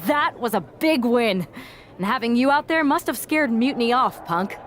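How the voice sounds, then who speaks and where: A woman's voice: a little high, lively intonation, measured pace. A woman playing a spirited, supportive wrestler in a video game cutscene. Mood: upbeat, questioning. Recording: faint background noise and ambience.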